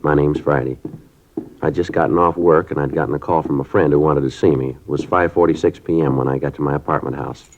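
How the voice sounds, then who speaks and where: An actor playing a terse police detective who narrates in a clipped, deadpan, matter-of-fact manner, in a 1950s radio drama. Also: male voice, extremely low pitch, fast, a little hiss or hum. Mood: flat narration. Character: terse male police detective, middle-aged. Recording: dull lo-fi 1950s radio broadcast.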